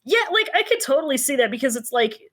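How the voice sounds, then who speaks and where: Woman, podcast co-host, very animated intonation, casual, wry, sweary commentary. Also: high-pitched, fast. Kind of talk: casual chat, reacting and commenting.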